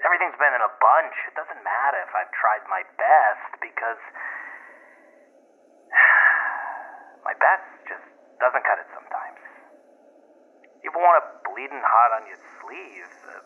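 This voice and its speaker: A flailing young boyfriend leaves a muffled phone message. His voice is husky and earnest, rambling in halting, remorseful phrases. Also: medium pitch, lively intonation. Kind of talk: found-footage audio drama acting.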